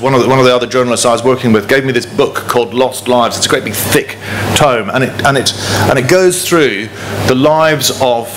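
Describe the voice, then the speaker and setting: Northern irish guy lecturing at a podium mic, earnest, keeps repeating words, aircon hiss behind. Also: medium pitch. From Northern Ireland. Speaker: author giving a talk. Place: club function room, indoors. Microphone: podium microphone.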